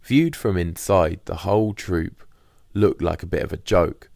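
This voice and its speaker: A man's voice: sits low, measured pace, creaky, darkish tone, no room sound, studio quality. A man narrating a first-person diary as a scripted audiobook.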